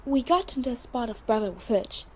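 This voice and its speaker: A woman voices a cheeky teenage boy prankster in an audio play. The voice is fairly high yet soft-toned, with playful, theatrical delivery. There is faint noise on the track. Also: animated, normal speed, natural voice. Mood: mock-innocent, boastful. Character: mischievous, cheeky teenage twin schoolboys. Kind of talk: audio drama acting.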